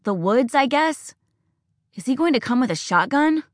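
Woman reader doing a teenage boy, worried, hurried, calling out, dry close sound. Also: high voice, lively intonation, dry recording, pitched above the performer's own voice.

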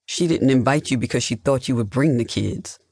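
A narrator playing a protective, indignant husband in an audiobook. He speaks bluntly and emphatically at a middle pitch, with a husky edge. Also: brisk, a little mellow, dry recording, clean studio sound. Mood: bitter, frustrated.

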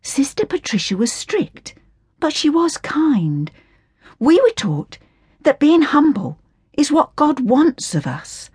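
A woman's voice: sits high, very animated, slow, no echo, studio quality, voice pushed up, exaggerated voice. A woman voicing a meek, pious young orphan girl. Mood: gentle. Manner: soft and gentle.

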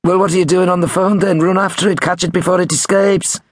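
A man voicing a cheeky young male prank caller from a storybook, with a Liverpool accent and mock-innocent, quick-fire questions. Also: sits high, little pitch movement, no echo, clean studio sound, pitched above the performer's own voice. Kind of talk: acted storybook dialogue.

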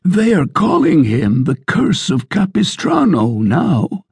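A man's voice: fairly high pitch, wildly swooping pitch, slow, gravelly, a little mellow, husky, dry recording, studio quality, pitched above the performer's own voice, full character voice. A man doing a nervous fat innkeeper. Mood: observing.

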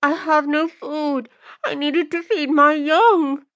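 Desperate, very high-pitched pleading woman. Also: extremely slow, sharp tone, dry recording, dull audio, heavily acted. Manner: pleading, stammering. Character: desperate pleading condemned woman, poor hungry mother in fantasy world.

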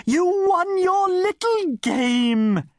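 A man voicing a theatrical, gushing mother, high and affected, for an audiobook. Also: sing-song, very slow, no room sound, studio quality, heavily acted.

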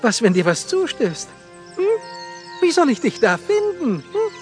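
A man's voice: very high-pitched, huge pitch swings, a little slow, darkish tone, faint music behind, full character voice. An actor playing a doting, exasperated king and father in a children's audiobook, coaxing and hesitant. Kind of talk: children's audiobook drama acting.

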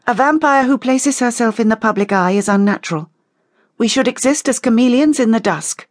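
A woman's voice: mid-range voice, lively intonation, normal speed, no room sound, studio quality, voice pushed up, lightly acted. A woman voicing a bitter, restless female vampire in an audiobook, speaking with emphatic indignation. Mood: accusing. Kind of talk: audiobook character dialogue.

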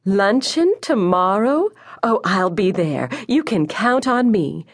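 A woman's voice: medium pitch, sing-song, slow pace, husky, dry recording, clean studio sound, voice pushed up, full character voice. A woman voicing a doting, fluttery housewife mother in a storybook, effusive and over-sweet. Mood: gushing, excited.

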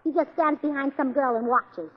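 An actress playing a flustered, scatterbrained wife in a 1940s radio play, stammering and fluttery. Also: female voice, high voice, normal speed, noisy background, close to an everyday voice. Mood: sweet. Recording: dull 1940s radio broadcast.

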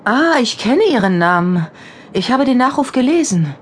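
A woman's voice: on the high side, big pitch swings, normal speed, a little hiss or hum. A woman playing a courteous, reserved and educated German lady of the 1910s, giving composed, formal replies in an audio drama. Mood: composed, interested.

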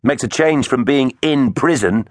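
Man voicing a high-pitched plucky dinosaur hero. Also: a little slow, no room sound, clean studio sound, lightly acted. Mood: cocky defiance. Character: plucky, cheeky male dinosaur captain, brave hero.

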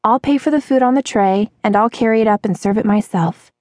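A woman reader voices a teenage girl sleuth from a mystery storybook. She sounds slightly raised in pitch, curious and firm, with a kindly confidence. The recording is dry and close. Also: brisk, darkish tone, no room sound, lightly acted. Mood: firm, reassuring. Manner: firm, inquisitive.

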